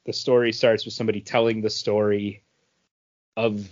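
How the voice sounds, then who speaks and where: A man's voice: lowish voice, level tone, moderate pace, a little mellow, dull audio. A man co-hosting a podcast, talking casually about what he is reading. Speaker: podcast co-host. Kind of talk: casual talk about comic books.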